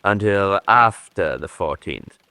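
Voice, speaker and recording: An actor playing a cold, suave, middle-aged spymaster who poses as a country gentleman, haughty and deliberate, in a radio drama. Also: deep voice, lively intonation, no echo, heavily acted. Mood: smooth menace.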